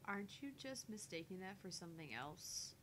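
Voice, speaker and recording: Indignant, easily flustered young heroine acted by a woman player for a visual novel; slightly low register, lively inflection, defensive. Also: normal speed. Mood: earnest, challenging. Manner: defensive, exasperated. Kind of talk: voice-acting visual novel dialogue and inner monologue. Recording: faint background rumble and noise.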